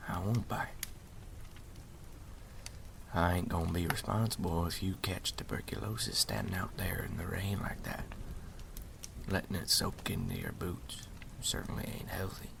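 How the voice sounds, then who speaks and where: A man playing a folksy, hospitable wandering story collector in an ASMR roleplay, drawling in a breathy half-whisper. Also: low-pitched, background noise. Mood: coaxing, friendly.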